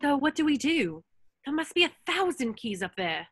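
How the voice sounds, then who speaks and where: Woman doing an anxious young schoolgirl witch, curious, high pitched, very dry sound. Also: very animated, normal speed, no room sound. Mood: concerned. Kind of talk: screenplay table read.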